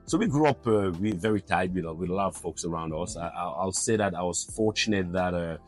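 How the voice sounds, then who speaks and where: A man in his early fifties speaks with a Cameroonian accent in a very low voice. He talks conversationally as an interview guest, pausing and repeating words as he shapes his thoughts, with faint music behind him. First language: not English. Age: early 50s. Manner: hesitant, repeats words while forming thoughts. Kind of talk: conversational personal storytelling.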